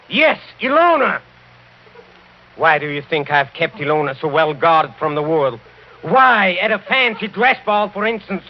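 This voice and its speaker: An actor playing a suave, sinister count in a 1940s radio horror drama, speaking in a deliberate, theatrical, mocking way. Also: male voice, high-pitched. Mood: impassioned. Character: suave sinister middle-aged count, intense, coolly menacing. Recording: muffled, noisy vintage radio recording.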